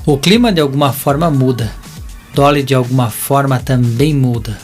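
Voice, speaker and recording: Narrator: Brazilian male, mid-pitched, scripted storytelling for audio drama, music bed underneath. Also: moderate pace, darkish tone.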